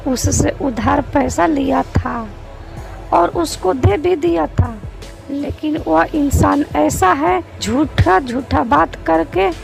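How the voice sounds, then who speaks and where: Cartoon casting: protective village mother played by a woman, high-pitched, very slow, soothing and reassuring. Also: vocal fry, full character voice, low-quality recording. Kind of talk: cartoon moral-story character acting.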